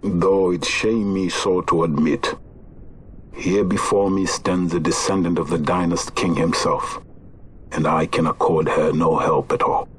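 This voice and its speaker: An actor playing a solemn, aged chief of a horned beast tribe in a video game, with grave, archaic, oracular phrasing. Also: male voice, low voice, level tone, unhurried, a little hiss or hum. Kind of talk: dramatic video game voice acting.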